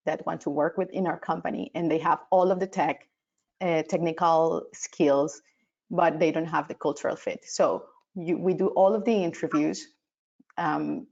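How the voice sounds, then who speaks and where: A woman with a low, gravelly voice answers slowly and hesitantly, filling pauses and restarting her sentences. The sound is dull and lo-fi, like a video call. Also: darkish tone. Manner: hesitant, many fillers and restarts. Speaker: award finalist. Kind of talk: webinar answer.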